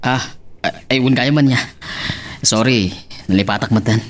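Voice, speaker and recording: A man's voice: medium pitch, unhurried, lightly acted. A man playing a defensive, put-upon young husband in an audio drama, with an Ilocano Filipino accent and a hesitant delivery. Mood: apologetic. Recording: faint background noise.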